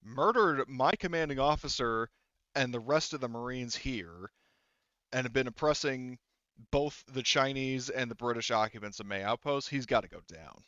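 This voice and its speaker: A man voicing a resolute, blunt female marine who leads rebels in a tabletop game, speaking in a halting, matter-of-fact way. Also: mid-range voice, expressive, bright, forceful tone, dry recording, highs cut off. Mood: grim, resolved.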